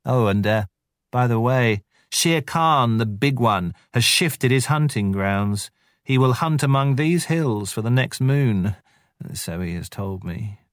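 A man voicing a mean, mischievous male jackal who wheedles and flatters in a drawn-out, sing-song way. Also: mid-range voice, dry recording, clean studio sound, pitched above the performer's own voice. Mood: fawning.

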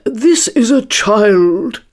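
Storybook hare messenger, played by a woman: eager, earnest, raised pitch, stretched emphatic words. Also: lively intonation, very slow, darkish tone.

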